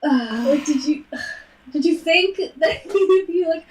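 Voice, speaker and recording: A woman's voice: very high-pitched, expressive, a little slow, recorded from a distance. A woman co-hosting a podcast in a casual book discussion, with exasperated, incredulous, sweary banter. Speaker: podcast co-host.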